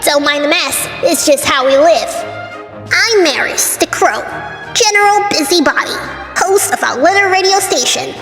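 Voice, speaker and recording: A woman's voice: high-pitched, animated, normal speed, a bit bright. A woman voicing a cheeky, chatty pirate-radio pony DJ in a cartoon comic dub, with breezy announcer patter. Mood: chatty, welcoming. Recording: reverberant, with music underneath.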